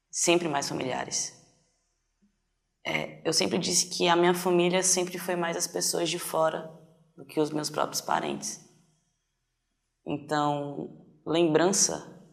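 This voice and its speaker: A Brazilian man in his twenties giving casual personal testimony in an interview, halting as he searches for words. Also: on the high side, gravelly. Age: 20s. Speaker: documentary interviewee.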